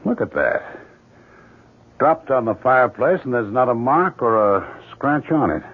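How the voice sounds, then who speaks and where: An actor playing a moody, obsessive painter in a 1970s radio drama, terse and brooding. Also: male voice, medium pitch, very animated, unhurried. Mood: intent, fascinated. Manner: terse, brooding, easily snappish. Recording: noisy, tinny 1970s radio broadcast.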